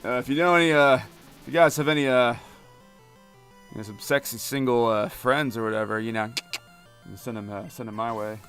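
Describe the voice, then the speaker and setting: A man's voice: medium pitch, a little fast, pauses often, a bit bright. A young man live-streaming a game, rambling casually and joking with his chat. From United States. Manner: rambling, talkative, many fillers, reads chat aloud and jokes. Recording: music playing behind.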